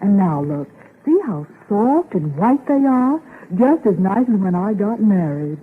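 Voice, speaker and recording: Husky, reassuring housewife friend. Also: female voice, mid-range voice, big pitch swings, unhurried, narrow, tinny audio. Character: reassuring, advising married woman friend. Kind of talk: 1930s radio commercial acting. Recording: thin 1930s radio recording.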